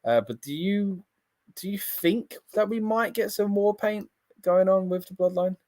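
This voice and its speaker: A man co-hosting a wrestling livestream, chatting casually and getting excited in bursts. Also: high-pitched, unhurried, no room sound. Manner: excitable, talks in bursts with restarts.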